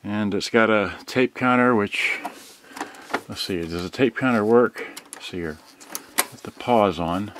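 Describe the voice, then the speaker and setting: A man's voice: lowish voice, measured pace, long pauses. A man giving a casual unboxing demonstration, talking half to himself in a distracted way as he handles things. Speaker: product reviewer. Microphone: camera on tripod, varying distance. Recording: clearly noisy home recording with clicks.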